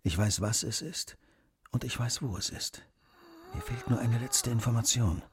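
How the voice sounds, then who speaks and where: An actor playing an incisive, self-assured detective in a German radio drama, eloquent and commanding, moving between hushed and emphatic delivery. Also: male voice, medium pitch, very animated, a little fast, slight background noise, close to an everyday voice. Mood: grave, urgent.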